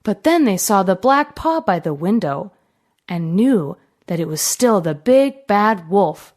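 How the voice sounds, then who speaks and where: A woman narrating a fairy tale for children in an expressive, deliberate storytelling manner. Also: mid-range voice.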